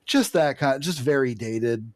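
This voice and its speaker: A man's voice: medium pitch, expressive, a little slow. A man co-hosting a podcast in casual chat, telling things in a rambling, digressive way. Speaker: podcast co-host.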